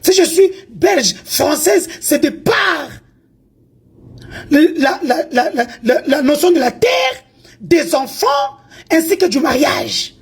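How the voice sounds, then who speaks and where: A woman's voice: sits high, lively intonation, takes it slowly. A woman from Congo delivering a heated, confrontational rant, taunting and defiant as she moves between two languages. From Congo (DRC). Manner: confrontational, taunting, mixes French and Lingala.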